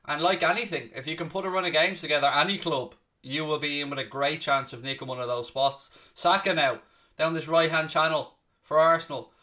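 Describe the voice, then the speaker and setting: A man's voice: fairly high pitch, a little fast, sharp tone. A young man with a Dublin Irish accent hosting a live football watchalong, mixing play-by-play calls with blunt, chatty answers to viewers. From Ireland. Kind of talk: casual live match commentary and chat with viewers.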